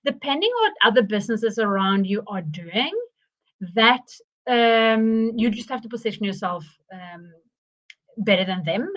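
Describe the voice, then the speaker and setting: A woman with a South African accent presents a live online session. She talks in a loose, chatty way, restarting phrases and filling gaps with ums, at an ordinary middle pitch. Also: expressive, measured pace, a bit bright, dull audio. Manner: chatty, many restarts and fillers. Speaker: marketing webinar host.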